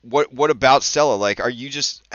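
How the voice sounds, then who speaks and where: A man from Italy hosting a casual chat about tabletop games, excitable and swearing freely, with many restarts. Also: mid-range voice, normal speed, no echo, lo-fi sound. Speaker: podcast host.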